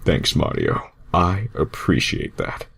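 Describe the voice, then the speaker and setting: A man voicing a smug, laid-back cartoon hedgehog who tries to sound mature, speaking slowly and mock-seriously. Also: very deep voice, wildly swooping pitch, vocal fry, a bit bright, husky, voice pushed down, full character voice. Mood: earnest, composed. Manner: slow, deliberate, mock-serious.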